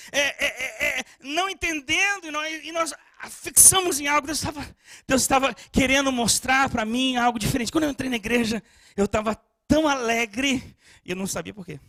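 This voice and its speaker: A male pastor preaching a sermon with passion, moving between soft storytelling and shouted appeals, often stammering as he restarts phrases. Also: extremely high pitch, no echo.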